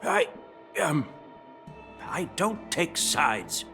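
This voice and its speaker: A man's voice: fairly high pitch, wildly swooping pitch, very slow, exaggerated voice. An actor playing a genial, easygoing elderly male general who chuckles and avoids taking sides, in a game cutscene. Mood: amused. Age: older. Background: background music.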